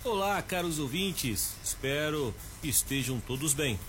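A man's voice: on the high side, lively intonation, unhurried, over music. Explanatory male radio language teacher. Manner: explanatory, repeats key words for emphasis. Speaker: Portuguese teacher giving a radio language tip. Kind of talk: didactic radio segment.

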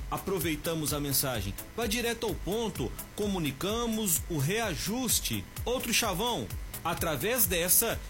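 A man with a Brazilian accent giving a slow, deliberate language tip as a radio teacher. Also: sits high.

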